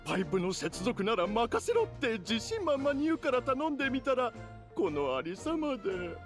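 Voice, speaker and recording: Actor doing a fussy polite young squirrel guy for a game, super swoopy pitch, music behind. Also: very animated, normal speed, close to an everyday voice. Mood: polite, flustered. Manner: polite to guests, exasperated with mate. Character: fussy, polite young origami squirrel worker scolding friend. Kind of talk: animated game voice acting.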